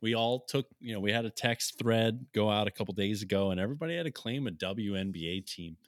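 A laid-back man of thirty chats on a sports podcast, rambling a little and doubling his words as he goes. His voice is low, and it is picked up close and dry with no room around it. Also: quick pace, no echo. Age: 30s. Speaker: podcast co-host. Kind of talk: casual sports podcast chat.

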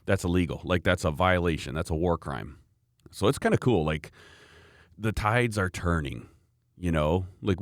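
Deep-voiced man chatting excitedly. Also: dry recording, clean studio sound. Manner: animated, rambling storyteller, frequent laughs. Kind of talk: casual chat.

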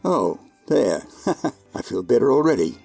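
An actor playing a kindly, principled old Santa Claus in a radio drama, with a gravelly, husky voice. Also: male voice, medium pitch, sing-song, a little slow, a little mellow, faint music behind, heavily acted. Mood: indignant, resolute. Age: older.

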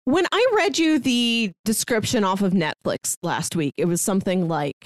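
A woman's voice: mid-range voice, animated, moderate pace, no echo, studio quality. A woman co-hosting a podcast, casually discussing film and retelling things by acting out imagined lines. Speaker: podcast co-host.

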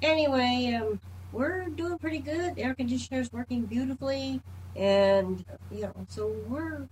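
A woman chats casually on a group call. She is upbeat, with a slightly high voice, and there is faint noise behind her. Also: normal speed, darkish tone, low-quality recording. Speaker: club call-in participant. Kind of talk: casual chatty check-in. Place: indoors at home.

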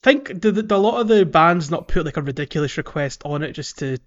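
A man's voice: a little high, animated, fast, dull audio. A man chatting casually and wryly as he hosts a video game podcast. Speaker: podcast host.

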